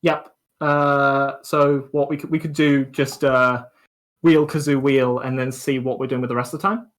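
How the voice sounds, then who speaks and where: A young adult co-hosts a casual livestream. The voice sits at an ordinary middle pitch, and the talk is informal, with playful banter. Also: fairly even intonation, normal speed. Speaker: co-host.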